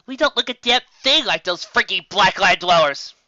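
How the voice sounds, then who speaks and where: A scornful female sea creature, put on by a woman reading a story, drawls every line with slow sarcasm. The voice keeps a bright, tense edge. The sound is dry and a little dull. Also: medium pitch, animated, no room sound, voice pushed up. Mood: sarcastic.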